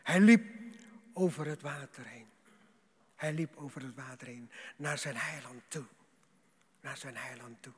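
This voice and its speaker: Male preacher, husky and slow, delivering an emphatic, dramatic sermon with repeated phrases in a reverberant hall. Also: mid-range voice. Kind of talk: sermon to a congregation. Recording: echoing hall, long reverb.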